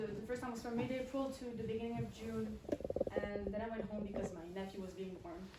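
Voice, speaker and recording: A young woman from Switzerland, not a native English speaker, giving a halting personal account as a student speaker at an event. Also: a little high. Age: young adult. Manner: halting, pausing mid-phrase. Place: indoor event room at a college. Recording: roomy, distant mic, faint noise.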